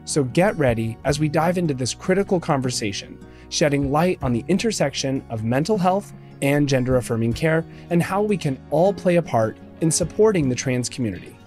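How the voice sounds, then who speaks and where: A man hosting a podcast, reading a scripted introduction and asking interview questions in a measured way. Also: a little high, expressive, a little mellow, faint music behind. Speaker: podcast host.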